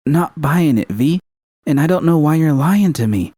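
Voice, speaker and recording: Blunt, worried girlfriend for an audiobook, played by a man. Higher than his narration, confrontational, foul-mouthed, soft-toned. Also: lively intonation, moderate pace, dry recording, clean studio sound. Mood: accusing, angry. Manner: profane, confrontational, pressing. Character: blunt, worried, hot-tempered young woman partner. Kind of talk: audiobook character dialogue.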